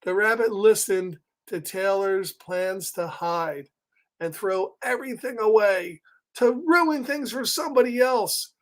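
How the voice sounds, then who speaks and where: Man reading a children's story aloud, conversational and gentle. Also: sits high, a little slow. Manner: gentle, conversational, often asks questions and drops into whispers. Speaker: story time reader and host. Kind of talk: live read-aloud of a children's picture book with chatty asides.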